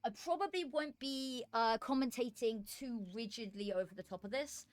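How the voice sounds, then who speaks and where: A woman's voice: on the high side, moderate pace. Woman streamer, voice worn out. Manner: muttering to herself between chatty asides. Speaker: esports streamer and commentator. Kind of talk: casual live-stream chat while setting up. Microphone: desk microphone.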